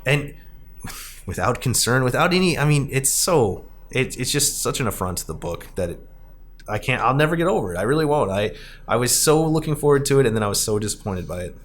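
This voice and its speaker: A man's voice: medium pitch, lively intonation. Rambling man hosting a casual podcast. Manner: rambling, halting, self-correcting. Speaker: podcast host. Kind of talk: casual discussion of films and arcade games.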